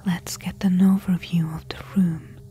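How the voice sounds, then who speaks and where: A woman playing a careful, eager temple explorer in a soft-spoken ASMR audio roleplay, hushed and deliberate. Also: on the low side, flat delivery, a little hiss or hum, natural voice. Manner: hushed, slow, deliberate whispering.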